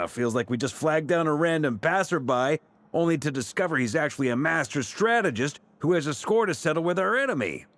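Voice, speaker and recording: An actor playing a confident, courteous strategist in a game, articulate and polite. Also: male voice, fairly high pitch, animated, moderate pace, a bit bright, no echo. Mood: amused. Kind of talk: game voice acting. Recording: game music under the voice.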